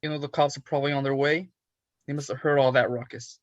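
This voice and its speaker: An actor reading an anxious, pleading hostage at a horror film table read, through a lo-fi microphone. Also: male voice, medium pitch, little pitch movement, fast talker, natural voice. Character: anxious, pleading man held hostage in a bar. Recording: dull, noisy lo-fi microphone.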